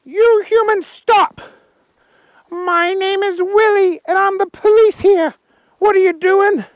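A man voicing a small, officious male policeman dressed in yellow, in a high, stern voice. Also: fairly even intonation, slow pace, dry recording, exaggerated voice.

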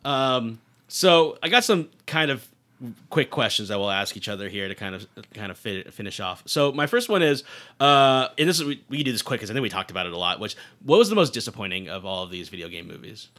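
A man's voice: lowish voice, big pitch swings, fairly quick, a bit bright. A man hosting a casual podcast chat, speaking in an informal, slangy way. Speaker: podcast host.

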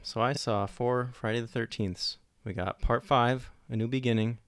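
A man's voice: medium pitch, steady tone, moderate pace, smooth, dry recording, studio quality. A man chatting casually and jokingly as a guest on a movie podcast, with a laid-back manner. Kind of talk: casual chat.

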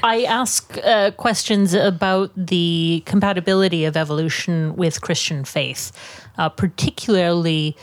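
A woman's voice: lowish voice, fairly even intonation, a little slow, no room sound. A woman from Canada, a guest theologian, explaining her work with dry, self-deprecating humour. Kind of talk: casual interview.

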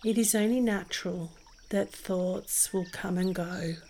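A woman narrating a guided meditation in a soothing, gentle and unhurried manner. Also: medium pitch, steady tone, slight background noise. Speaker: meditation podcast host and guide. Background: music behind the voice.

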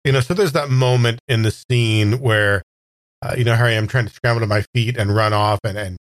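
A man's voice: lowish voice, dry recording, rough recording. Man playing a tabletop game, dry sound. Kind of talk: casual in-character description.

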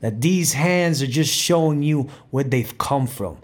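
An actor playing a playful, reflective Puerto Rican bandleader from New York in an audio drama monologue, mixing Spanish into streetwise, conversational storytelling with a Puerto Rican New York accent. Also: male voice, medium pitch, measured pace, lightly acted. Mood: wry. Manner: Spanglish code-switching, streetwise, conversational storytelling.

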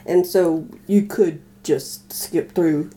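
A woman co-hosting a casual discussion of old radio dramas, explaining things in a thoughtful, halting way. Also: fairly low pitch, fairly even intonation, dark tone, a little hiss or hum. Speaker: podcast co-host.

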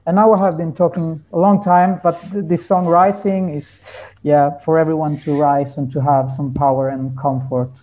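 A man talking reflectively as an interview guest, earnest and halting as he searches for his words. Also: on the high side, level tone, lo-fi sound. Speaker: guest musician.

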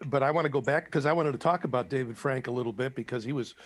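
A man's voice: medium pitch, rapid-fire. A man hosting an interview, prompting his guest with friendly questions. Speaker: interview host. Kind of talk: conversational interview.

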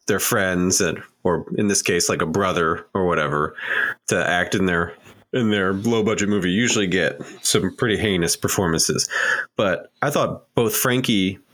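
A man's voice: low-pitched, a bit bright. A man co-hosting a casual movie podcast, making dry, laid-back asides. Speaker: podcast co-host.

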